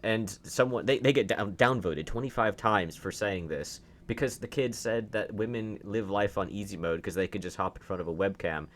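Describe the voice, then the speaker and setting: A man's voice: on the low side, normal speed, few pauses, a little hiss or hum. A man giving opinionated online commentary, reading out and reacting to posts in an argumentative, emphatic way, with rhetorical questions and repeated phrases.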